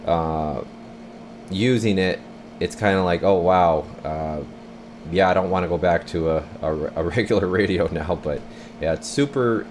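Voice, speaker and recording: Very low male voice, laid-back rambling demo talk, clear hissy background noise. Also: measured pace, darkish tone. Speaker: channel host demonstrating a CB radio. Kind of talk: casual unscripted demo talk.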